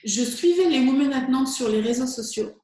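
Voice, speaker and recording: A woman's voice: sits high, animated, normal speed, darkish tone. A French woman working as a speaking coach, answering interview questions with enthusiasm and occasional fillers. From France. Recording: very echoey room.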